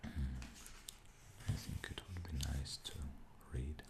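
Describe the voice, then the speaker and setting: A man with a Russian mother tongue whispers in a deep, gravelly hush. He speaks very slowly, with long pauses and soft fillers between phrases, in an unhurried ASMR style. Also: very noisy. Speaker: ASMR creator. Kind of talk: whispered ASMR show-and-tell.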